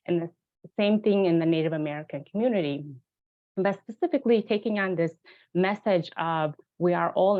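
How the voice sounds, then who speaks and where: Woman from Ethiopia on a tinny call. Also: on the low side. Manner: earnest, many fillers and restarts. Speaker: webinar panelist, practitioner and researcher. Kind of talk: presenting a talk. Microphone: video call. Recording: tinny phone-like video call audio.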